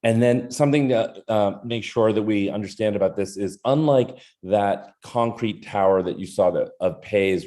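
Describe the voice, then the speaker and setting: A man's voice: low-pitched, mellow. A man presenting an architectural design, talking through slides with frequent ums, uhs and restarts. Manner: hesitant, many ums and uhs. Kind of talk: presentation talk.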